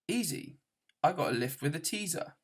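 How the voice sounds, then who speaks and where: A man's voice: fairly high pitch, expressive, moderate pace, breathy edge, pitched above the performer's own voice. A man voicing a breezy, self-satisfied, easygoing alien traveller in a storybook, matter-of-fact in manner. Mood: smug, amused.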